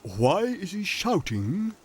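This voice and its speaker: A man's voice: high voice, sing-song, takes it slowly, husky, pitched above the performer's own voice, heavily acted. A man voicing a naive, curious young talking trailer in a children's story, with an innocent, questioning way of talking.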